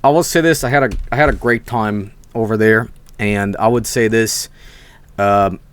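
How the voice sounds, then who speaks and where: A man's voice: fairly low pitch, normal speed, clear, resonant tone, no room sound. A young man from Sweden, telling rambling stories on a casual stream, swearing freely and restarting his sentences.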